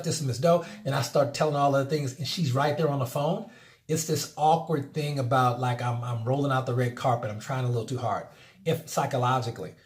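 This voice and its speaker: A man teaching network-marketing sales, talking casually and acting out phone conversations with energy. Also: mid-range voice. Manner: energetic, acts out phone scripts, many restarts. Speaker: MLM sales trainer. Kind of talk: casual instructional talk.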